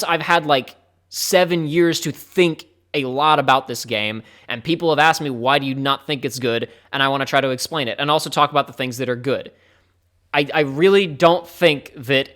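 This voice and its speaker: A young male streamer with a slightly high voice chats to his viewers off the cuff. He rambles and thinks aloud, sometimes rushing ahead and sometimes trailing off to a mutter. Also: fairly bright tone. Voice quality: fairly high-pitched voice. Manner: rambling, candid, speeds up and trails off. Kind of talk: casual live-stream talk to chat.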